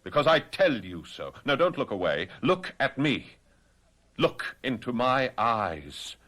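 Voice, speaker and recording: An actor playing a commanding gentleman doctor and mesmerist in radio drama, deliberate and authoritative. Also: medium pitch, very animated, exaggerated voice. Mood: firm defiance. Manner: deliberate, authoritative, hypnotic insistence. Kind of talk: 1970s radio drama acting.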